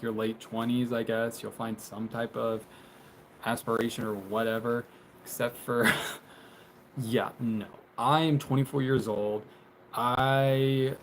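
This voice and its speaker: A man's voice: mid-range voice, a little hiss or hum, rough recording. A man in his twenties chatting casually on a live stream, rambling with lots of fillers and restarts and laughing often, filmed on a phone on a tripod in a small closet. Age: 20s. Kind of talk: casual live stream chat, reading viewer comments. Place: small bedroom closet, indoors.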